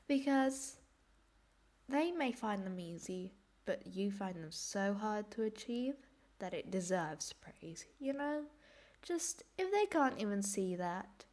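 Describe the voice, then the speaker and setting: A woman reacting casually to clips in a rambling, self-deprecating way, her voice hoarse and giving out from a cold. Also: medium pitch, darkish tone. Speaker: host reacting to cartoon clips.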